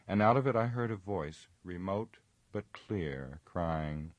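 A proud, earnest warrior lord's son, read by a man. His voice sits very low and sounds close and dry. He tells his tale formally and gravely. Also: lively intonation, slow, dry recording. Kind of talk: audiobook reading.

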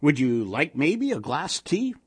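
A man's voice: on the high side, very animated, a little slow, husky, no echo, heavily acted. A man reading a short story, voicing a nagging, easily nettled old immigrant wife with sing-song rhetorical questions and Yiddish-style phrasing. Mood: indignant. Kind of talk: short story read aloud.

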